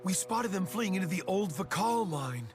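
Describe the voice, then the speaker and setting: Alarmed guard, high and urgent. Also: male voice, animated, normal speed, music in the background. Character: alarmed male guard urgently reporting an attack. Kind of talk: video game cutscene acting.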